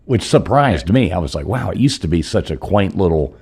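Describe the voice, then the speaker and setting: A man chatting casually on a stream, joking and rambling with many halting restarts. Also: sits low, lively intonation, creaky, darkish tone, husky. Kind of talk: casual chat.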